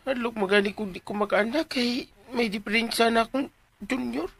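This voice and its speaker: A man's voice: very high-pitched, moderate pace, vocal fry, a bit bright, full character voice. A man with a Cebuano Filipino accent playing a whiny, good-natured, hapless sidekick in a radio drama. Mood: pained, sheepish. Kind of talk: Philippine radio drama acting.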